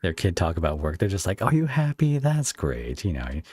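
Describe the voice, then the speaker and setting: A man hosting a casual, joking interview, talking in a halting, rambling and playful way into a close microphone. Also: deep voice, wildly swooping pitch, a little mellow, husky, no echo. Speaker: podcast host and interviewer; voice actor. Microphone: close microphone on an online call.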